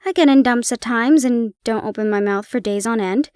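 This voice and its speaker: A woman's voice: on the high side, normal speed, darkish tone, clear, resonant tone, no echo, clean studio sound, close to an everyday voice. A woman voicing a clever, eccentric male detective in a read-aloud storybook. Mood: brisk.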